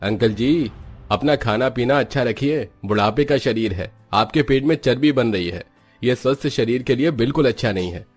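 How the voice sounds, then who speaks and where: Man acting a measured, advising cartoon doctor. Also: medium pitch, faint music behind, natural voice. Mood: earnest advice. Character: matter-of-fact male doctor giving advice.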